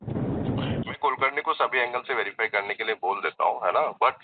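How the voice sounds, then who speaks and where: A man's voice: mid-range voice, moderate pace. Deferential man on a tinny phone line. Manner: deferential, protesting, often interrupted. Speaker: senior police officer. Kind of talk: defensive phone conversation. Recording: tinny phone line, other voices overlapping.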